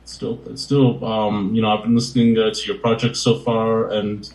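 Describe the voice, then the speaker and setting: Casual halting young male interviewer. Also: lowish voice, flat delivery, a little mellow, reverberant, low-quality recording. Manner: casual, halting, heavy use of "like" and fillers.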